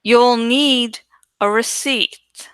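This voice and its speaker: A woman's voice: on the high side, steady tone, extremely slow, a bit bright, clean tone, dry recording, studio quality. A woman voicing a shabby, crafty madwoman from a storybook, who speaks in precise, eerie repetitions. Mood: flat, challenging. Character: shabby, sly madwoman who repeats herself eerily.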